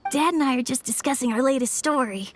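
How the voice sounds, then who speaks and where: A woman's voice: sits high, fast, soft background music, natural voice. A woman voicing a thoughtful young boy storyteller in a video game, earnest and reflective. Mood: earnest, curious.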